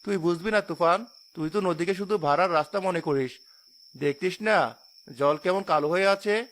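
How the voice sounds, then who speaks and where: A man's voice: sits high, moderate pace, a bit bright. A man playing a devout, cautious boatman in a cartoon horror story, earnest and solemn. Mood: troubled reverence. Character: devout, cautious boatman who reveres the river.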